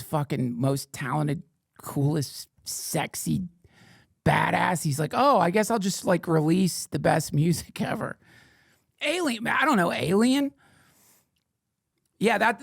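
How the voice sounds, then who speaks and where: A middle-aged man hosting a comedic stream, riffing in a mock-indignant persona that swings from whispers to shouts. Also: fairly high pitch, gravelly, no room sound, studio quality. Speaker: podcast host reading chat questions.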